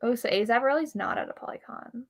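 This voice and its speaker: A woman's voice: a little high, brisk. A woman co-hosting a live book readalong show, chatting enthusiastically with a friend about authors and events. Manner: enthusiastic fan chatter, laughs easily. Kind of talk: casual chat between friends.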